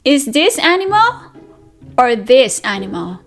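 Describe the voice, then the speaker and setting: High, animated woman teaching young children. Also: measured pace, faint music behind. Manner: sing-song, addresses small children with questions and repetition. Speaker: preschool teacher and read-aloud host. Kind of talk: interactive children's read-aloud lesson.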